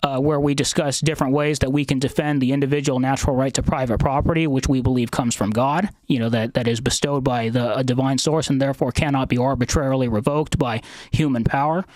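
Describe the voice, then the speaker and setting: A man's voice: medium pitch, steady tone, fast talker, a little mellow, dry recording, poor audio. A man hosting a podcast interview, talking in a hesitant way with many fillers and restarts. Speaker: podcast host.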